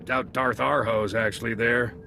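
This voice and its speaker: Gravelly businesslike intelligence agent. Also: male voice, mid-range voice, steady tone, normal speed, bright, forceful tone, slight background noise. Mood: dry, businesslike. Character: gruff male military intelligence agent, businesslike. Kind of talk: video game voice acting.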